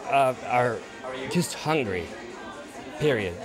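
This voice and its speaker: A man's voice: medium pitch, lively intonation, slow. Blunt, earnest man from Cuba. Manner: blunt, short, earnest answers. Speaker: painter and photographer. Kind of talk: interview answers. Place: outdoors at a busy art event. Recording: crowd chatter and music behind.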